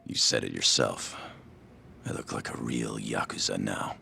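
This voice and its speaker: An actor playing a weary, bitter yakuza man in a video game, talking in a subdued, resigned, confessional way with a creaky voice. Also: unhurried, sharp tone, soft background music, exaggerated voice. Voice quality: very deep, creaky. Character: weary, bitter young yakuza man confiding his story. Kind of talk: video game cutscene acting.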